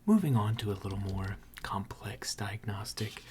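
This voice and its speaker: A person whispering an ASMR repair roleplay in a breathy, gentle and reassuring way, with unhurried, hesitant pauses. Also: low-pitched, animated, slight background noise. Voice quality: breathy, mostly unvoiced whisper. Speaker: ASMR creator role-playing a robot repair technician.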